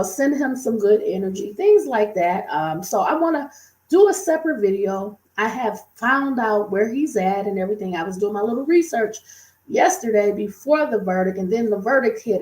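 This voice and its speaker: A woman's voice: mid-range voice, darkish tone, echoey room, poor audio. A woman talking casually to her viewers in commentary style, chatty and repetitive with a lot of fillers. She is getting over a cold, and her voice is a little strained. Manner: chatty, repeats and restates, many fillers. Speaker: true-crime commentator.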